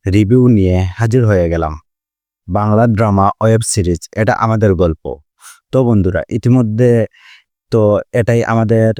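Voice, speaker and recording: A man's voice: lowish voice, dark tone, no echo, studio quality. A man with a Bangladeshi accent narrating a drama series update as a channel host, repetitively addressing viewers as friends. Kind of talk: scripted YouTube review narration.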